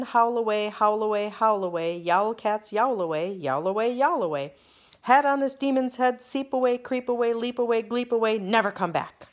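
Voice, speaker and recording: A woman's voice: on the high side, voice pushed up, natural voice. A woman voicing a group of mysterious magicians, chanting a rhyming spell in sing-song rhythm.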